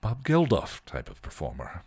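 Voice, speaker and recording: A man's voice: low voice, wildly swooping pitch, moderate pace, a little mellow, husky, no room sound, pitched below the performer's own voice, full character voice. A man voicing a stuffy, dry-witted older Englishman with a prim, formal and wry way of speaking.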